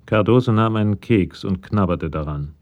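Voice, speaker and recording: An actor narrating a German crime radio play in a detached, observant, scripted manner. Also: male voice, fairly low pitch, normal speed, lo-fi sound, loud music behind the voice, lightly acted.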